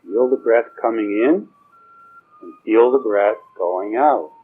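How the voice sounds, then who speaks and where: A man leading a guided meditation, giving slow spoken instructions in a soothing, permissive and informal way, with pauses. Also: fairly low pitch, slight background noise. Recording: dull, muffled lo-fi recording with music.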